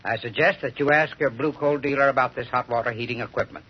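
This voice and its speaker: An American man delivers a scripted sponsor message in vintage radio style. His voice is middling in pitch and somewhat raspy. The recording is dull and dated. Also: steady tone, quick pace. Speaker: sponsor spokesman. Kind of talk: scripted 1930s radio advertising.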